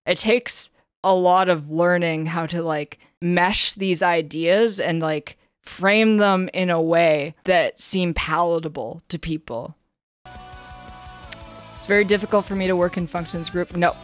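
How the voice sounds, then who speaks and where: Young Canadian woman, streamer, flat near-monotone delivery, lowish voice, dry close sound, casual chatter. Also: measured pace, no echo, faint music behind. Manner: flat, slightly monotone delivery with frequent fillers. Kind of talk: casual livestream chat, reading a questionnaire aloud. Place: indoor room, streaming setup.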